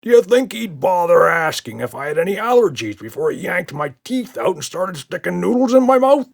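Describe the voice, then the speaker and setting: A fussy little monster for a children's storybook. The voice is high and raspy, with a big swooping range. He frets and complains indignantly. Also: sing-song, a little fast, full character voice. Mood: indignant, worried. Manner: fretful, complaining.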